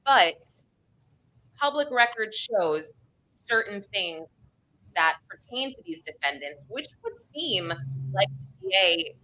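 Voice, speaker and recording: A woman's voice: mid-range voice, sing-song, slow pace, pauses often, bright, forceful tone, rough recording. A woman from the Northeastern United States with an American accent, co-hosting a casual true crime discussion in a chatty, informal way. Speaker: co-host.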